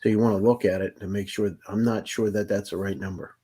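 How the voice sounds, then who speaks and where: A man with a fairly low voice questions a startup founder on a pitch panel. He is blunt and pressing, firing direct questions and challenging the founder's numbers. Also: fairly even intonation, fairly quick, dark tone, slightly rough. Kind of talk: probing business questions.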